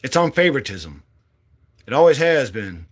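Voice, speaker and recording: A man's voice: mid-range voice, big pitch swings, moderate pace. A man in his late forties giving a blunt, impassioned monologue as a candidate, swearing freely and speaking with fire. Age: 40s. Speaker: political candidate.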